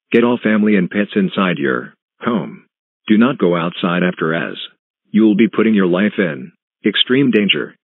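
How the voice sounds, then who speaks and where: A man reading a scripted emergency broadcast announcement in a flat, formal, synthetic manner. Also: low-pitched, no room sound. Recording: tinny phone-like band, muffled husky sound.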